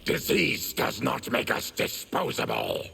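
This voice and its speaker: An actor playing a bitter, suffering mutant warrior in a video game, with a guttural, gravelly voice and solemn, deliberate, formal delivery. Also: male voice, medium pitch, wildly swooping pitch, very noisy, full character voice. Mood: indignant. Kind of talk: dramatic video game acting.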